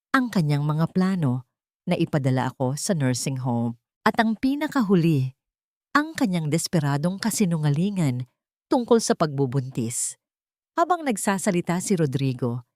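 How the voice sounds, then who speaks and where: A woman's voice: low voice, darkish tone, dry recording, studio quality. A woman reading a scripted first-person dramatic story as its narrator.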